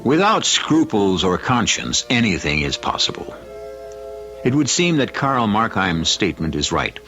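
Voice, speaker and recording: Deep-voiced, theatrical male radio host. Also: sing-song, a little slow. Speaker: host and narrator of a radio mystery series. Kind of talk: old-time radio narration, theatrical. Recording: dull vintage radio recording. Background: faint music.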